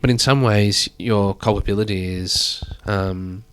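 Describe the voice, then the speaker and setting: A man in his thirties, a guest speaking thoughtfully in a measured, drawn-out way. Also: lowish voice, fairly even intonation, fairly bright tone, smooth. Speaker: guest artist. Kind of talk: reflective conversation.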